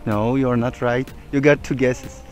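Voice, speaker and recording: A man's voice: mid-range voice, steady tone, unhurried. A young man from Kazakhstan, hosting upbeat street interviews on a city street and laughing often. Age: young adult. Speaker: vlogger host. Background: music.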